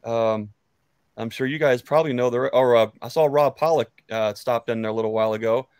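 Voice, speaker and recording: Man, thirties, American accent, middle pitch, friendly, hesitant, meandering casual chat, dry close-miked sound. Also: fairly quick, a little mellow, clean tone, no echo. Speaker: beekeeper, guest on a live chat. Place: book-lined room at home. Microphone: close studio mic on an arm.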